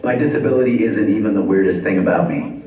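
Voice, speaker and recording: A young Canadian man performing a stand-up comedy set, delivering dry one-liners deadpan at a live venue. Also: lowish voice, fast talker, very noisy. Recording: echoey venue from far off.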